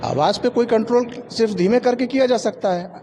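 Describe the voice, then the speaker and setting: Man, high-pitched voice, plain-spoken, explanatory, repetitive, faint background ambience. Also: brisk, warm tone, a little hiss or hum. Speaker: interviewee, local resident. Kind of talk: plain-spoken street interview answer.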